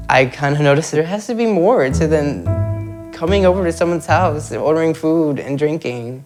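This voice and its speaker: A young man from South Florida speaking reflectively and earnestly in a documentary interview. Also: mid-range voice. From South Florida, United States. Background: soft music score.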